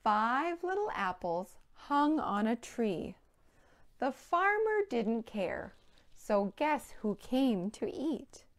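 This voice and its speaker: Woman in her thirties, very slow delivery, slightly high voice, children's storytime presenter, simple phrasing for little kids. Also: big pitch swings. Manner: simple, clearly phrased address to young children. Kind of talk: children's storytime with rhymes and counting. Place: indoor room with playhouse backdrop.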